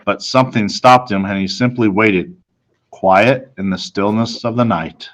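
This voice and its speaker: A man narrating a children's novel as a reader, in a measured, suspenseful storytelling manner. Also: fairly low pitch.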